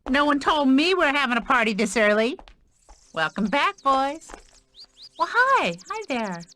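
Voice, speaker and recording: Bright, bubbly young gal. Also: female voice, sits high, sing-song, measured pace, full character voice. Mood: cheerful. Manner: perky, friendly. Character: bubbly, friendly young Southern country woman. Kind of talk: game cutscene acting.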